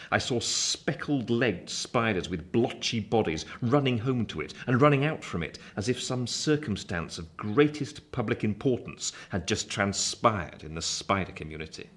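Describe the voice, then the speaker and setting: An actor playing a grown man recalling his boyhood, narrating a literary radio play in a measured, wry way. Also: on the low side, close to an everyday voice. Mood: wry, descriptive. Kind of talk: scripted first-person storybook narration.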